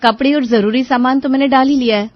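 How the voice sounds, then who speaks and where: A woman's voice: high voice, steady tone, quick pace, no echo, highs cut off, voice pushed up, lightly acted. A woman voicing a harried, outspoken young housewife in a cartoon family story. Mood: agreeable. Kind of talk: cartoon family drama acting.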